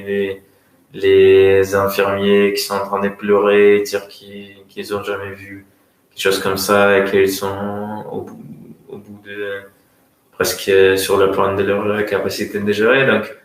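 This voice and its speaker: A man from Russia with a Russian accent speaks French as a guest economist at a conference, often pausing to search for words. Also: fairly low pitch. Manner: hesitant, searching for words, many fillers and restarts. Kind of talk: conference talk. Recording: very echoey room.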